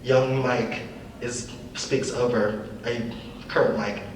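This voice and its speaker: A man's voice: mid-range voice, steady tone, slow. A young man reading scripted stage directions as narration at a live table read. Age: young adult. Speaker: reader of script action lines. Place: large echoey room, live event. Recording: echoey room, distant mic, faint noise.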